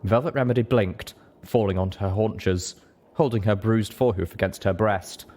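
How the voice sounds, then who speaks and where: A man's voice: fairly low pitch, moderate pace, a little mellow. A man reading scripted fiction aloud as a dramatic audiobook narrator. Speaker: audiobook reader.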